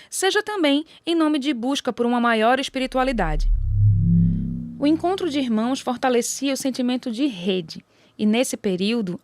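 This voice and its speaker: A woman with a fairly high voice and a Brazilian accent delivers scripted documentary narration. The recording is dry, with very little room sound around her. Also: dry recording.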